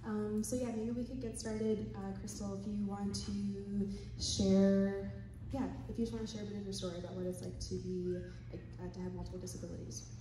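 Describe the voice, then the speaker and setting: Woman running a panel, stutters a bit, soft and inviting, super roomy hall sound. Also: medium pitch, flat delivery, moderate pace, background noise. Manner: stutters at times, gentle and inviting. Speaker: panel moderator. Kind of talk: panel moderation. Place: hotel conference room with audience. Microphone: handheld mic, recorded from far in the room. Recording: echoey conference hall from far off.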